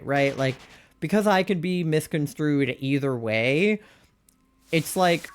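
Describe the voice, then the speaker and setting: A man's voice: a little high, a little hiss or hum. A young adult man streaming live, chatting candidly in a rambling way, full of "like" fillers and exasperated rhetorical questions. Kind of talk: casual chat while live-streaming a game.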